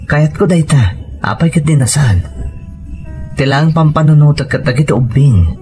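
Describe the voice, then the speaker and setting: A man's voice: fairly high pitch, moderate pace, a little mellow, soft background music, lightly acted. A man playing a hesitant, worried husband in a domestic drama, questioning and reluctant. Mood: doubtful. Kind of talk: domestic audio drama dialogue.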